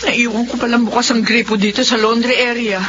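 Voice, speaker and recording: An actress in a Filipino radio drama playing an older, embittered retired mother with an expressive, exclamatory way of talking. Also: fairly high pitch, brisk, natural voice. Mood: flustered dismay. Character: embittered retired mother, easily flustered, long wronged. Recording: dull sound with faint noise.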